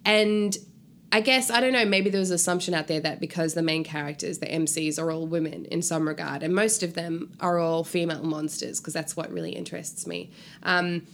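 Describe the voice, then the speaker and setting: A woman's voice: fairly low pitch, slight background noise. A woman speaking casually and informally as a guest author in an interview. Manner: animated, informal, frequent "like" and swearing.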